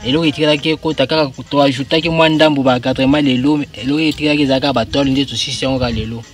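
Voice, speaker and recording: A man's voice: mid-range voice, steady tone, racing, faint music behind. Young man explaining, outdoors. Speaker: worker. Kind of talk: interview answers. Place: outdoors beside a metal shed.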